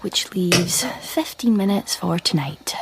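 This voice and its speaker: A woman's voice: on the low side, slow pace, heavy background noise. An actress playing a shy, wry, unemployed young woman graduate in a radio play, hesitant aloud and confiding in her inner monologue. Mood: dry, self-mocking. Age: young adult.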